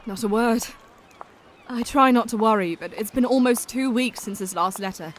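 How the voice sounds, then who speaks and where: An actress playing a gentle, modest young Englishwoman in an audio drama, speaking tenderly and earnestly. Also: a little high, normal speed, a little hiss or hum, close to an everyday voice. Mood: worried. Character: gentle, worried young woman, modest friend.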